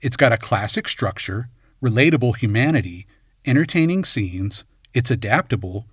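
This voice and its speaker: A man's voice: mid-range voice, animated, moderate pace, husky, no echo, studio quality. A man reading scripted film commentary as host, in a wry, tongue-in-cheek way. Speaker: video essay host.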